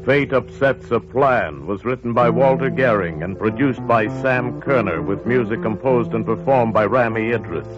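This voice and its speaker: A man's voice: medium pitch, moderate pace, few pauses, loud music behind the voice. A man narrating a 1940s radio drama in a suspenseful style that builds to urgent intensity, on a muffled old broadcast.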